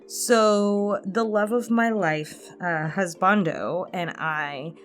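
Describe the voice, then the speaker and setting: A woman's voice: medium pitch, takes it slowly. A woman in her late twenties chatting candidly and casually as she answers subscriber questions, rambling through personal stories. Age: 20s. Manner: candid, rambling storytelling with small chuckles. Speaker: ASMR channel host answering subscriber questions. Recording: music playing behind the voice. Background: instrumental music.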